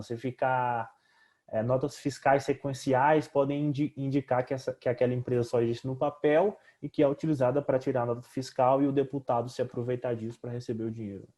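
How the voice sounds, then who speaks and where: A man's voice: mid-range voice, mellow. A young man with a Brazilian accent giving a semi-formal, explanatory project presentation as a student, repeating words hesitantly as he goes.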